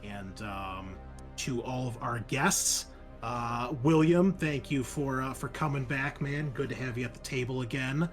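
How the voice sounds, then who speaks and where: A man's voice: medium pitch, unhurried, faint music behind. A man chatting casually as game master and host after a tabletop game, in an informal, rambling, sometimes sweary way.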